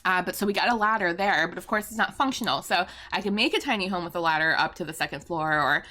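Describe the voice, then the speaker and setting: A young American woman, a little hoarse, chatting casually and rambling with fillers as she talks through a building video from her home setup. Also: fairly low pitch, quick pace, pushed and bright. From United States. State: slightly hoarse. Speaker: YouTuber and streamer. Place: home room with pastel backdrop and fairy lights.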